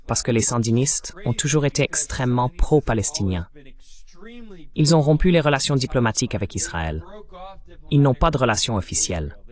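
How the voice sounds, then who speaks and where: Man reading a French voice-over translation. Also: mid-range voice. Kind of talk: dubbed translation of political commentary.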